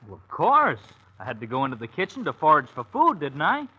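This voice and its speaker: Cheeky young radio-play son, swooping pitch. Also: male voice, wildly swooping pitch, brisk, slightly rough, heavily acted. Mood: breezy. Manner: breezy, flippant, teasing. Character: cheeky, lazy grown son of the president. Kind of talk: old-time radio drama acting. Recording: dull, hissy old-time radio recording.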